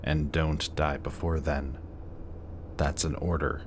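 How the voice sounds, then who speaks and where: A man's voice: very deep voice, flat delivery, slow, background noise. A man playing a smug, guarded supervillain in an audio roleplay, teasing and commanding, grudgingly protective of the person he is addressing. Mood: coaxing, concerned. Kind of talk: scripted roleplay audio drama addressed to the listener.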